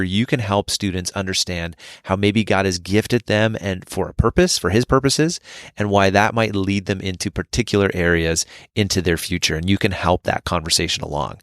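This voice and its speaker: A man's voice: on the low side, dry recording, clean studio sound. A man hosting a podcast, talking in an earnest, upbeat way as he introduces the episode. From Canada. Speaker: podcast host.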